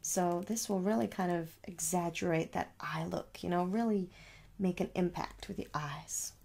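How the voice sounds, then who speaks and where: Creaky-voiced young woman, ASMR shop roleplay. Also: on the low side, normal speed, a little mellow. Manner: reassuring, repetitive, conversational. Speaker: shopkeeper in roleplay. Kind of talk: ASMR makeup store roleplay, soft casual sales chat. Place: small room at home.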